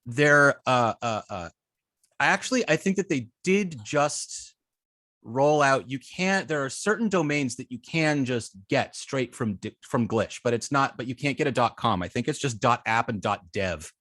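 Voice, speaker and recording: A man teaching informally as an instructor, explaining in a halting, hesitant way with many filled pauses and false starts. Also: medium pitch, lively intonation, a bit bright, no room sound, clean studio sound. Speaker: web design instructor.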